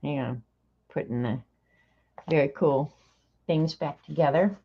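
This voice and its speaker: Talk it through slowly and easily, as a woman in her sixties chatting to your stream, lower in your voice, half thinking aloud with little laughs. Keep it relaxed and unhurried. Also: husky. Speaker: streamer opening mail on stream. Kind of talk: casual livestream chat. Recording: slightly dull lo-fi stream audio.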